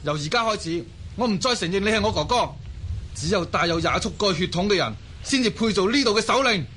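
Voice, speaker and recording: An actor playing a defiant, scornful young half-brother with a Cantonese accent in an audio drama. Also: male voice, high-pitched, lively intonation, brisk, a bit bright, slight background noise. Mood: defiant, taunting.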